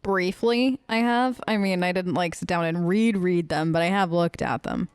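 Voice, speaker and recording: A woman's voice: lowish voice, moderate pace, clear, resonant tone, dry recording. A woman hosting a role-play let's play, improvising conversationally in character at a close microphone. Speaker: gaming host.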